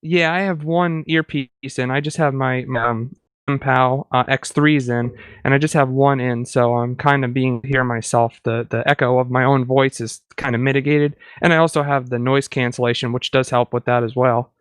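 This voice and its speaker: A chatty man co-hosts a casual tech podcast. His voice is mid-pitched and smooth, recorded close and dry. He talks about himself in a self-deprecating way. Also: steady tone, moderate pace, dry recording. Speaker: podcast co-host.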